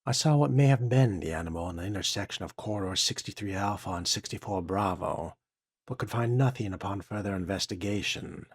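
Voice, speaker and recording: A man narrating a role-playing game in character and reading documents aloud, with a measured, formal, dry delivery. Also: on the low side, breathy edge, dry recording.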